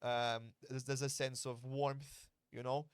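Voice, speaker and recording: Blunt, earnest man hosting a podcast, a fight coach who explains his views at length and swears without a second thought. His voice sits at a mid pitch. It sounds close and dry, with no room echo. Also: little pitch movement, slow pace, clean tone. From Malta. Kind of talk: casual podcast conversation.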